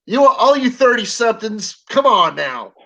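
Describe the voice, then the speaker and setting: A woman's voice: a little high, big pitch swings, moderate pace, sharp tone. Joking talkative woman in her forties. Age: 40s. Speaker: live-stream guest. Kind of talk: casual chat.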